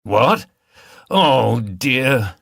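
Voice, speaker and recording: A man plays a tired older male detective boss in a mystery audiobook. He is mild and good-humoured, middling in pitch, and recorded very dry. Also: very animated, drawn-out words, gravelly, a bit bright, no room sound, exaggerated voice. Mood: sheepish, drowsy.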